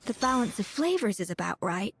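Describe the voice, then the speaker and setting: Calm focused game chef, self-coaching, lively pitch, faint background noise. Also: female voice, a little fast. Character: calm focused female chef working to balance flavors.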